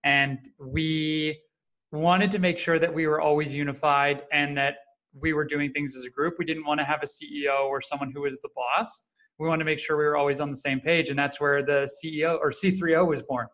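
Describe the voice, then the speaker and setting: Easygoing man, slightly high voice. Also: brisk, poor audio. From Canada. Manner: friendly, jokey, relaxed storyteller. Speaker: podcast guest, startup co-founder. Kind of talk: casual interview answers.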